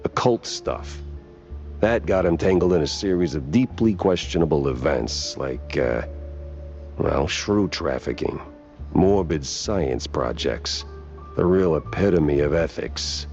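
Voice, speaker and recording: A very low, world-weary gumshoe from a noir cartoon game. He talks in a wry, laconic drawl, hardboiled 1930s style. The sound is dull and lo-fi, with music behind him. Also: animated, takes it slowly, a little mellow, heavily acted. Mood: wry, uneasy. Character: world-weary wisecracking male noir private detective, cartoon mouse.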